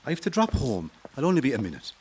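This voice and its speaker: A man's voice: mid-range voice, huge pitch swings, quick pace, darkish tone, husky. An actor with an Irish accent playing a weary, driven rebel commander and family man in an audio drama. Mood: subdued, hurried.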